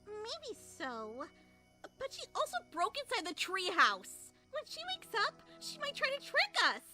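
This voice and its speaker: A bratty, haughty young cartoon filly, played by a woman, suspicious and rude. The voice is very high and piercing, swooping wildly in pitch, with music underneath. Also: wildly swooping pitch, measured pace, pushed and bright, heavily acted. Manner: haughty, confrontational. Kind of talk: fan-made cartoon dub.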